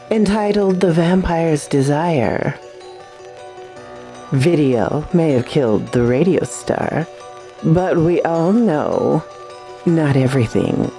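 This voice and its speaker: A woman giving a scripted, dramatic horror-host introduction with drawn-out theatrical delivery. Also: deep voice, warm tone. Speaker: horror host introducing old radio programs.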